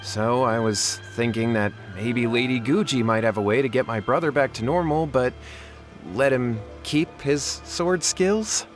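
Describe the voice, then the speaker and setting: A man's voice: medium pitch, unhurried, soft background music, natural voice. A man playing a frightened young man who stammers and panics as he confesses. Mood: explaining.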